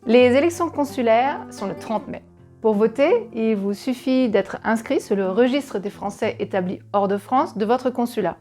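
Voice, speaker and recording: Une femme qui lit une annonce préparée d'un ton régulier et posé. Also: female voice, a little high, normal speed, soft background music. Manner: even, scripted delivery. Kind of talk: read announcement.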